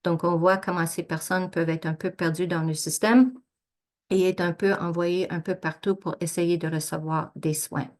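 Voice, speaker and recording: A woman researcher giving an academic presentation, talking through slides in a measured way with frequent hesitations and self-corrections. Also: fairly low pitch, fairly even intonation, a little mellow. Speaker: researcher presenting study results.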